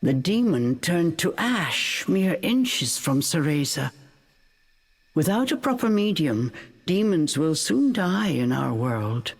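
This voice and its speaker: A woman narrating a fairy tale for a video game in an expressive, measured storytelling manner. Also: deep voice, husky, exaggerated voice. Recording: faint music behind the voice.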